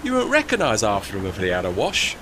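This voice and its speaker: A man's voice: fairly low pitch, huge pitch swings, quick pace. Man as working-class tugboat, radio play, cheeky, proud, folksy, bouncy intonation, slight background noise. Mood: cheeky pride. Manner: colloquial, folksy, non-standard grammar. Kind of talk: audio drama acting.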